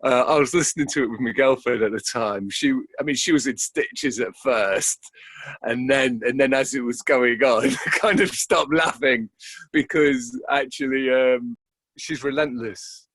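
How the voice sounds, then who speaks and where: A man's voice: medium pitch, a little slow, a bit bright, no echo. A man reflecting in an interview, speaking hesitantly and repeating words as he thinks aloud. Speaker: interviewed actor.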